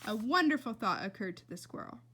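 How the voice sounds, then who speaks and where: A woman reads a children's story aloud. Her voice sits a little high, and her storytelling is expressive, with very lively rises and falls. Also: a little fast, slightly rough. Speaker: reader.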